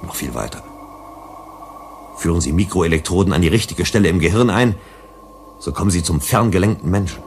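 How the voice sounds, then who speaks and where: A man's voice: low voice, expressive, a little fast, long pauses, a little hiss or hum. An actor playing a principled, cautious male psychologist in a sci-fi radio play, emphatic and lecturing. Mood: sardonic lecturing. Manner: emphatic, didactic.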